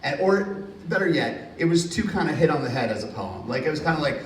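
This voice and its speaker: Animated storytelling man in a roomy hall. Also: on the high side, fairly quick, a little hiss or hum. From Hudson County, New Jersey. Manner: lively, laughing anecdotes with acted-out quotes. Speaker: author at a reading. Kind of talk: casual storytelling in a Q&A. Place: university reading event hall. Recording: roomy, distant, faint ambience.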